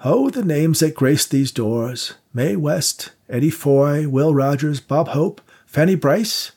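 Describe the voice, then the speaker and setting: A man's voice: fairly high pitch, lively intonation, slow pace, darkish tone, slightly rough, pitched above the performer's own voice, heavily acted. A man voicing a frail, courtly theater manager in his late nineties, nostalgic and quavering. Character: frail genteel old male theater manager, 97.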